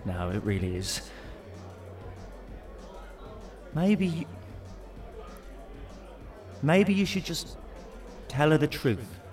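High-pitched, panicky British inner voice. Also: male voice, big pitch swings, slow pace, a little mellow, distant mic, faint music behind. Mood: frantic. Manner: sweary, berating. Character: mouthy, panicky inner voice of an awkward male dater. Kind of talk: audio drama acting.

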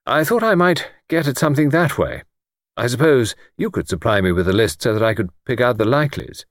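A man's voice: medium pitch, expressive, fairly quick, no echo, clean studio sound, natural voice. A man voicing a polite but outspoken younger English man, slightly nervous and punctilious. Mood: tentative. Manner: punctilious, blunt.

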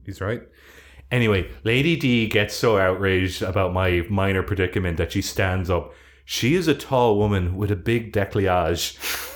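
A man with a Dublin Irish accent tells loose, self-deprecating anecdotes on a podcast. His voice sits on the lower side, and he swears freely and acts out what other people said. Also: normal speed. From Ireland. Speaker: podcast co-host. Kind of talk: casual storytelling chat.

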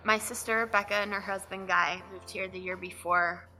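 A young adult woman from Nevada reflecting softly on her church testimony in an interview, with fillers and pauses. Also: mid-range voice, steady tone, soft background music, rough recording. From Reno, Nevada. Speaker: church member giving testimony.

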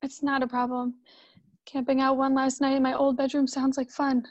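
A woman's voice: sits high, completely flat delivery, normal speed, dry recording. A woman playing a hurt, reproachful young wife in a radio drama, emotional and plaintive. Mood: subdued, weary.

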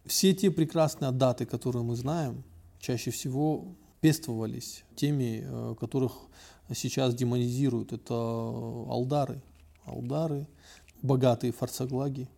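A man's voice: medium pitch, steady tone, a little slow, vocal fry, mellow. A man from North Ossetia, a blogger and activist, speaking as a guest on a podcast in a reflective way with many hesitations. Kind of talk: casual discussion.

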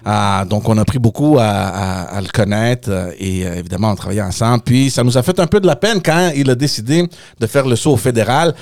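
A man's voice: mid-range voice, a little fast, gravelly, a little mellow, no echo, studio quality. A man with a Quebec French accent co-hosting in casual chat, emphatic and fond of repeating his phrases. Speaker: podcast co-host.

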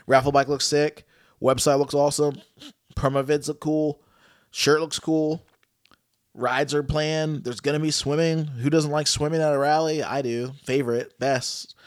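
A man's voice: a little high, dry recording, clean studio sound. A man hosting a podcast in a laid-back, joking casual chat, with a stuffy, nasal voice and easy swearing. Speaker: podcast host.

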